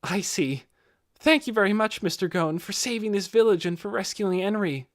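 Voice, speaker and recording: A man's voice: high voice, moderate pace, dry recording, studio quality, voice pushed up. A man voicing an earnest, polite, nervous teenage boy in an audiobook, speaking deferentially and apologetically. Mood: nervous, grateful. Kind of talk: audiobook character voice.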